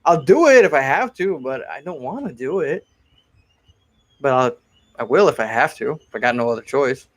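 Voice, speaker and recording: A man's voice: mid-range voice, big pitch swings, normal speed. A man in his forties from Connecticut, chatting casually on a live stream as he answers viewer questions about his cosplay builds. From Connecticut, USA. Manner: chatty and rambling, often repeats himself.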